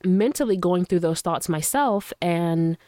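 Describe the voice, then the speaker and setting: Slightly hoarse woman hosting a casual podcast. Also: fairly low pitch, animated, unhurried, dark tone, dry recording, studio quality. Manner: loose and chatty, full of fillers; earnest and encouraging. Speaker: podcast host. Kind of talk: casual solo podcast talk. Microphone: studio microphone.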